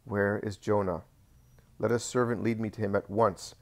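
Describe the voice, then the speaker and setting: A man's voice: fairly low pitch, steady tone, lightly acted. A man voicing a stern, grave teacher. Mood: severe. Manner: severe, measured.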